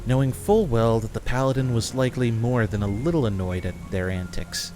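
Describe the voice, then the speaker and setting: A man's voice: medium pitch, brisk, music in the background. A man reading scripted narration for a fantasy audio drama.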